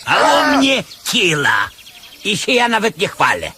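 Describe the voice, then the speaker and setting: A cheeky, folksy beggar in a radio drama. He drawls slowly in a high, sharp voice that swoops wildly. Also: wildly swooping pitch, a little hiss or hum, full character voice. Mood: cheeky, indignant. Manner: folksy, drawn-out challenging delivery. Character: cheeky, shrill male cemetery beggar. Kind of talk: Polish radio play acting.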